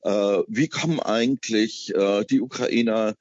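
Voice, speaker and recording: Husky low-voiced man, halting radio guest. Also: a little mellow, dry recording. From East Germany. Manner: hesitant, many filler sounds. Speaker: festival curator. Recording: dull lo-fi broadcast audio.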